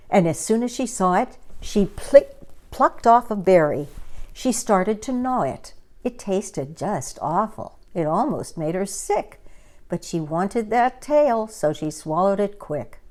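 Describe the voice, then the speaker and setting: A woman storyteller reading a children's story aloud in an expressive, dramatic and deliberate manner. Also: medium pitch.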